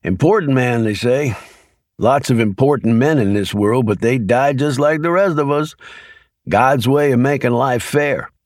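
A man's voice: medium pitch, a little slow, darkish tone, dry recording, clean studio sound, voice pushed up, close to an everyday voice. A man doing a wisecracking old country man. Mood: musing. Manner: folksy drawling dialect, sly and joking. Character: older country man, wisecracking, easygoing, stubborn. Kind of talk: audiobook character voice.

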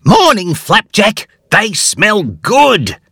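A man voicing an excitable, cheerful young male character in a storybook, eager and full of exclamations. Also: high-pitched, extremely slow, husky, no room sound, clean studio sound, voice pushed up, heavily acted. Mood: excited.

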